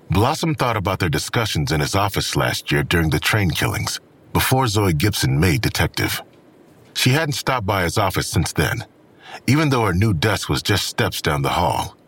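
Male audio-drama narrator: husky, deep, animated scripted delivery. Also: normal speed, no echo.

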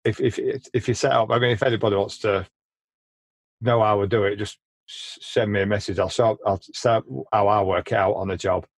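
A man hosting a casual podcast, chatty and quick to share opinions, often stumbling and repeating words as he starts a sentence. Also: lowish voice, steady tone, no room sound. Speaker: podcast host. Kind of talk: casual chat.

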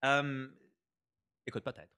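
A man's voice: mid-range voice, level tone, slow, bright, forceful tone, clear, resonant tone, dry recording, studio quality. A man with a Québécois French accent explaining policy in a casual discussion, repeating words as he thinks and mixing in English terms. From Quebec, Canada.

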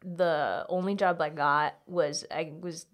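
A woman's voice: low voice, fairly even intonation, takes it slowly. A woman with an American accent talking casually as an interview guest, in an informal, rambling way peppered with "like". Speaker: guest, comedian.

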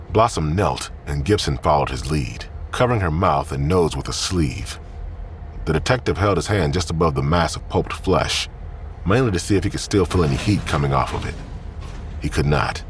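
A man narrating scripted crime fiction in a grim, deliberate storytelling manner. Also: a bass voice, slight background noise.

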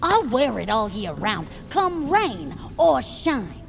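Vain, brash, creaky-voiced henchwoman. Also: fairly high pitch, sing-song, takes it slowly, slight background noise, heavily acted. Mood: defiant. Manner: brash, emphatic. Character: vain, brash, stubborn cartoonish henchwoman in love with herself. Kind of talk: family audio fiction character acting.

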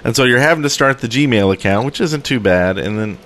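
Lively bright-voiced man joking on a podcast. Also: medium pitch, brisk. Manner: playful, excitable, mock-dramatic. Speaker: podcast co-host. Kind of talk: casual joking podcast chat.

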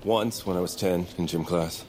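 A man's voice: sits low, moderate pace, a little mellow, slight background noise, close to an everyday voice. An actor playing a brash, cocky, foul-mouthed man who fancies himself an action hero in a comedy audio drama. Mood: bantering. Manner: brash, swearing, mock-serious. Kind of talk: comedic audio fiction acting.

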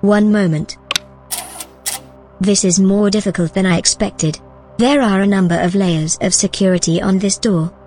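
A woman playing a calm, formal and helpful artificial intelligence assistant in an audio drama, measured and matter-of-fact. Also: medium pitch, faint music behind, lightly acted. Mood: composed, helpful.

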